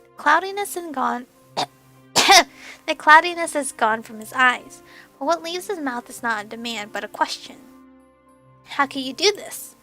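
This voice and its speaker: A young woman hosting a let's play, reading a visual novel aloud with a hoarse, fading voice and casual asides. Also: high voice, animated, moderate pace, sharp tone, soft background music, rough recording. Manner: casual asides between earnest narration. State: hoarse, losing her voice.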